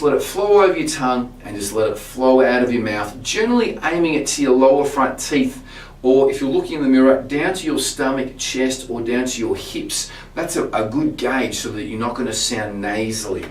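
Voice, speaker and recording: A man, a singing teacher, explaining vocal technique to camera in a relaxed way, often restarting his words. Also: medium pitch. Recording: roomy sound from a distance.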